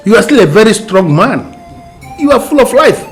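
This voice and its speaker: An animated man, played by an actor, pressing his brother hard, with his pitch leaping about. Soft music runs under his voice. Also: brisk, lightly acted. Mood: insistent. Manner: insistent, persuasive. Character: middle-aged man, insistent persuading brother. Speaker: uncle, brother.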